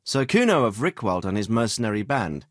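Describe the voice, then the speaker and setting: An actor playing a dignified, middle-aged medieval lord in a video game, fatherly but shrewd, with a measured, authoritative and well-spoken delivery. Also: on the low side, sing-song, no echo, studio quality. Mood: businesslike, frank. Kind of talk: scripted game dialogue.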